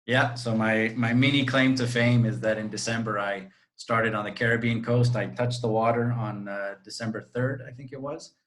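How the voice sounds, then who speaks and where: A man's voice: mid-range voice, steady tone, moderate pace, few pauses, fairly bright tone. A man from Costa Rica, a real estate agent, talking at length in a friendly way on a video call interview. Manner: friendly, talkative, explains at length. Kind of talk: interview answers.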